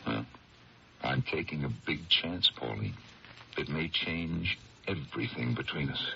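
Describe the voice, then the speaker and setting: An actor playing an upright, suspicious district attorney with a firm, blunt, questioning manner in a 1970s radio drama. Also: male voice, extremely low pitch, measured pace, creaky, sharp tone, exaggerated voice. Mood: troubled. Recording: muffled, noisy 1970s radio broadcast.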